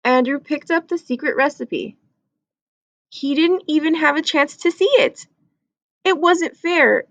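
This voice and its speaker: A woman's voice: high-pitched, a little slow, pauses often, a bit bright, no echo. A woman reading a children's book aloud as a storyteller.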